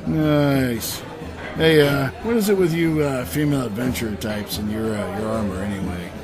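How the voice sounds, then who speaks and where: An actor playing a blunt, sarcastic barbarian adventurer with a casual manner in a comic fantasy audio drama. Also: male voice, medium pitch, normal speed, natural voice. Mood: wry. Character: gruff but civilized, wisecracking male barbarian adventurer. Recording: music playing behind the voice.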